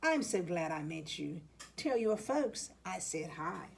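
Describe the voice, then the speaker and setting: A woman reading the part of a kindly, sentimental older woman in a children's storybook, speaking gently and consolingly. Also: lowish voice, sing-song, slow pace, gravelly, a little hiss or hum, voice pushed down, full character voice. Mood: wistful. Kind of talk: children's storybook read-aloud.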